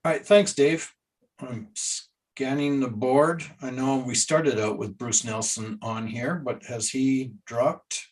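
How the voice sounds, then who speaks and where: A man's voice: mid-range voice, takes it slowly, distant mic. Man chairing a video meeting. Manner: friendly, relaxed, moves the agenda along.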